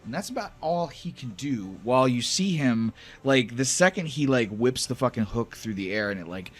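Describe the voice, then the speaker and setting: A man's voice: mid-range voice, animated, normal speed, faint music behind. A man running a tabletop fantasy game, mixing dramatic storytelling with casual, sweary table talk. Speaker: game master.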